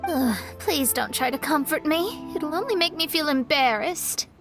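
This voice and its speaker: A woman's voice: sits high, animated, moderate pace, music in the background. A woman playing a proud, easily embarrassed young astrologer genius in an anime-style video game, with a boastful, theatrical way of talking. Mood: flustered, defensive.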